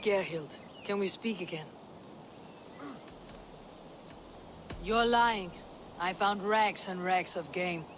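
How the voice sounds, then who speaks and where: A stern Viking raider played by an actress with a fairly low female voice. She speaks in a measured, terse, probing way, like a shrewd game heroine. There is clear noise behind her. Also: natural voice. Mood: suspicious. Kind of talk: video game character acting.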